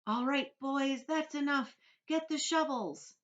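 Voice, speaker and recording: A gleeful crowd of storybook cloud men, as a woman reading aloud puts them on, chanting slowly in a very high sing-song voice. The recording is dull and lo-fi. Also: a bit bright. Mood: bossy.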